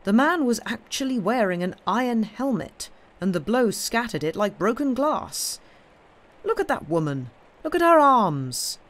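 A reader as a blunt, emphatic doctor, lively pitch. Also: male voice, measured pace, full character voice. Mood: impressed, emphatic. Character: blunt, rather sulky male village doctor, opinionated. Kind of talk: audiobook character dialogue.

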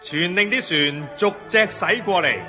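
An actor playing an imperious, pleased Chinese emperor in a Cantonese radio drama, stately, with a Hong Kong accent. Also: male voice, sits high, a little slow, over loud music. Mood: pleased, commanding.

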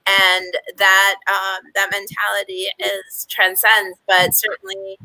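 A young woman on a video call, speaking in a lively way and laughing between phrases. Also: mid-range voice, takes it slowly, no echo, poor audio. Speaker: panelist.

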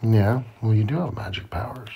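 A man reading a children's storybook aloud to his child in simple, deliberate phrasing. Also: on the low side, creaky, muffled recording, a little hiss or hum. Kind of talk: children's read-aloud narration.

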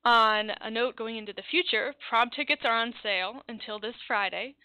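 A woman's voice: on the high side, moderate pace, sharp tone, no echo, dull audio. A teenage girl, the student representative, giving an earnest, orderly report at a school board meeting in a meeting room. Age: teens.

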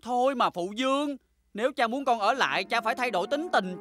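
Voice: mid-range voice, animated, fairly quick, a bit bright, faint music behind, lightly acted. An actor playing an earnest, kindly young boy prince in a Vietnamese cartoon dub, pleading and sincere. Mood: resolute.

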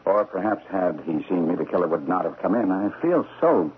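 An actor playing a deceitful, self-important stockbroker with debonair airs in a radio drama. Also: male voice, low voice, animated, fairly quick, husky, exaggerated voice. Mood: feigned regret. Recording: tinny, noisy old radio recording.

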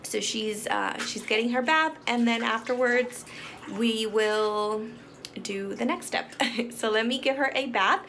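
A woman's voice: on the high side, measured pace. A woman in her thirties, chatting casually to her phone camera at home and in the car, rambling with fillers and little laughs. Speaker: vlogger, mom. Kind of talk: casual daily vlog chat. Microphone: phone camera at arm's length.

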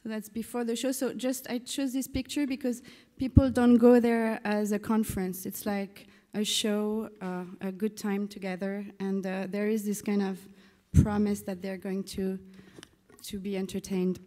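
A woman with a French accent speaks to a conference from a panel table. Her tone is dark and relaxed at a medium pitch, her phrasing informal and halting. A long hall reverb surrounds the voice. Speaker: live magazine founder, panel speaker. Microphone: table gooseneck microphone.

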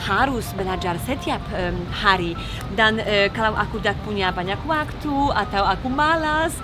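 A young Polish woman with a Polish accent, chatting casually to the camera in a simple, halting second language. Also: mid-range voice, lively intonation, over music. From Poland. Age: young adult. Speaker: vlogger. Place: outdoors on a beach.

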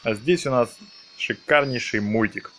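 A man's voice: mid-range voice, measured pace, background noise. A man hosting a gaming video, commenting casually on his own play in short phrases. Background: game music.

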